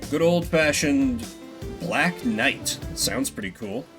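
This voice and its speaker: A young man doing loose let's-play commentary, swearing and joking at his own expense. His pitch sits a little high and moves around a lot, and game music plays clearly behind him. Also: expressive, takes it slowly. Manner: swearing, self-deprecating, rambling. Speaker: streamer.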